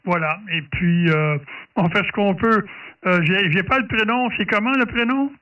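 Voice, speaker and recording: A man with a Quebec French accent talks casually over ham radio in a high, bright voice. He hesitates and repeats himself as he goes. The sound is dull and distant from the mic. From Quebec, Canada. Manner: hesitant, halting, repeats words. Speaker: amateur radio operator. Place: at his radio station.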